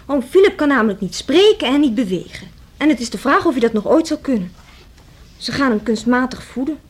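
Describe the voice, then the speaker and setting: A cheeky, sardonic young woman in a radio play. Her voice is high and swings freely up and down. She teases theatrically and sounds restless. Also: animated, a little fast, darkish tone, husky. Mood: pointed, talkative. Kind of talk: radio drama acting.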